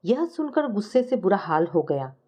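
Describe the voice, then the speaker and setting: A woman's voice: mid-range voice, very animated, moderate pace, highs cut off. A woman reading a scripted first-person family story as a storyteller.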